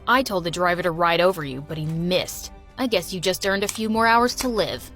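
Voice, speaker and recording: Smug sneering teen bully boy, voiced low and taunting, as a woman puts him on; cartoon story. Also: fairly quick, a bit bright, soft background music, natural voice.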